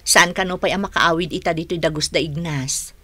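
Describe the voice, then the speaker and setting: A woman's voice: fairly low pitch, steady tone, brisk, bright, forceful tone, no room sound. A woman acting a devoted, chatty housekeeper in a radio drama, deferential and familiar. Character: devoted, chatty female housekeeper to a young lady.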